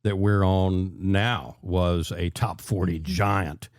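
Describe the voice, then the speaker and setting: A man's voice: low voice, fairly even intonation, no room sound, studio quality. An older man hosts a conversational radio interview, often restarting his phrases as he goes. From American South. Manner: halting, repeats phrase openings. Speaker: radio show host.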